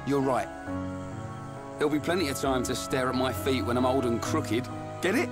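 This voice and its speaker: An actor playing a brawny, loyal young warrior with a British accent and a blunt, informal way of talking in a video game. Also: male voice, medium pitch, moderate pace, close to an everyday voice. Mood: recovering, hearty. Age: young adult. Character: brawny young male warrior, loyal, blunt, self-doubting but hearty. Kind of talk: video game cutscene acting. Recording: game music behind the voice. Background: game soundtrack music.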